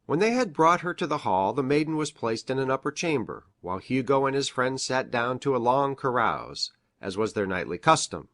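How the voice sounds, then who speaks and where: A man playing an earnest doctor in a dramatic audiobook, reading formal archaic prose in a solemn, measured way. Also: mid-range voice, fairly bright tone, no echo, studio quality. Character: earnest male doctor reading an old family legend.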